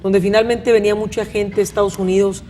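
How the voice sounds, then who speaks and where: A woman's voice: mid-range voice, level tone, fast talker, warm tone, a little hiss or hum. A woman with a northern Mexican accent hosting a conversational panel interview, talking in a rambling, questioning way with frequent tag questions. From Monterrey, northern Mexico. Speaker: talk show host.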